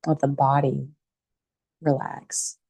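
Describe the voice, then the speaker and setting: A woman teaching a guided meditation in a calm, soothing, unhurried way with pauses between phrases. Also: extremely low pitch, gravelly, husky, dry recording.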